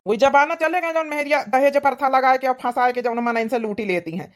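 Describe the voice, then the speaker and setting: A woman's voice: sits high, fairly quick, natural voice. A woman voicing a tired, grumbling village husband with an Awadhi accent in a cartoon comedy. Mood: angry. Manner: grumbling, berating.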